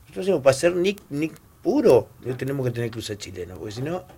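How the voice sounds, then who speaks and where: A man's voice: mid-range voice, very animated, quick pace, mellow, husky. A man hosting a casual chat and retelling anecdotes with repeated "digo". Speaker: host.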